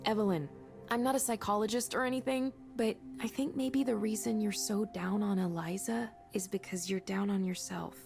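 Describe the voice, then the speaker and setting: Actress as an earnest, creaky-voiced female colleague. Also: medium pitch, fairly even intonation, moderate pace, soft background music, lightly acted. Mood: earnest, encouraging. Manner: earnest, conversational, gently encouraging. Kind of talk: naturalistic visual novel voice acting.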